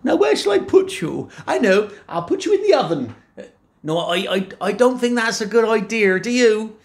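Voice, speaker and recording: A man voicing a flustered, kindly giant's wife, in a high fluttery put-on voice. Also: very animated, normal speed, creaky, exaggerated voice. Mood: dithering. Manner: fussy, dithering, talks to herself.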